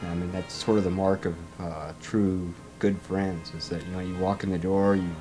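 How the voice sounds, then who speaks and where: Very deep, flat-toned middle-aged man. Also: normal speed. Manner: hesitant, conversational, many you-knows. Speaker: audio drama team member. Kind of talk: casual interview reminiscence. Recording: music behind interview speech.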